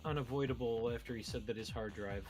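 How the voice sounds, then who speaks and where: A man's voice: medium pitch, fast talker, over music, rough recording. A man co-hosting a gaming show in casual, conversational banter. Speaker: co-host of a gaming radio show.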